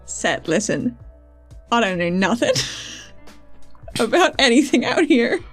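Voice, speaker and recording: A woman's voice: on the high side, big pitch swings, slow pace, fairly bright tone, soft background music, heavily acted. A woman playing a frank, naive, good-natured young outsider in a tabletop game, talking informally. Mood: incredulous, amused.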